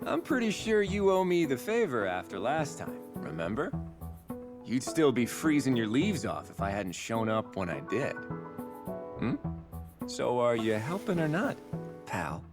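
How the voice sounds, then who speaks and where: A man's voice: mid-range voice, very animated, a little slow, over music. An actor playing a steadfast, teasing male bird-folk warrior in a video game, with a wry, assured manner.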